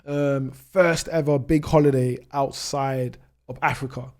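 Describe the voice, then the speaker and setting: A man's voice: medium pitch, steady tone, measured pace, darkish tone. A British man in his thirties telling his own story conversationally as a podcast guest, with frequent "you know" asides. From United Kingdom. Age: 30s. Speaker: travel vlogger, podcast guest.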